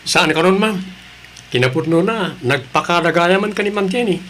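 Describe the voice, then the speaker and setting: A man's voice: high-pitched, expressive, normal speed, fairly bright tone, a little hiss or hum. A man with an Ilocano Filipino accent playing an admonishing male coworker in an audio drama. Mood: informative. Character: reproachful male coworker urging patience and humility.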